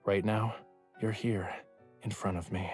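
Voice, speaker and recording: Man acting as a low-voiced, teasing young lover. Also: steady tone, unhurried, a little mellow, natural voice. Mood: earnest. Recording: music behind the voice.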